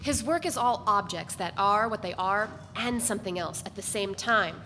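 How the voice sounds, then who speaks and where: A woman's voice: medium pitch, lively intonation, normal speed, pushed and bright. A woman reading scripted first-person literary narration aloud at a live event. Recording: reverberant hall, noisy live recording.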